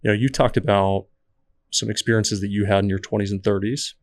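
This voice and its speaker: A man hosting a podcast who asks probing questions in a measured, conversational way. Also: lowish voice, fairly even intonation, dry recording. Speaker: podcast host. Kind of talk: interview questions. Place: podcast studio.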